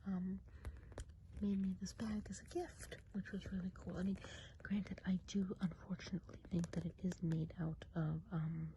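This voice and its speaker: A woman playing a friendly, chatty Christmas witch of the woods in a gentle, breathy ASMR whisper. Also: lowish voice, a little hiss or hum, low-quality recording. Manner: gentle, unhurried, chatty and hesitant. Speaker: ASMR role-play host. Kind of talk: whispered ASMR role play.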